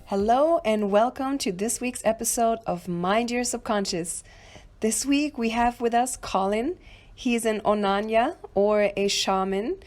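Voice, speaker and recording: A woman's voice: a little high, darkish tone. A woman hosting a podcast, opening the episode and asking her guests questions in a friendly manner. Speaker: podcast host.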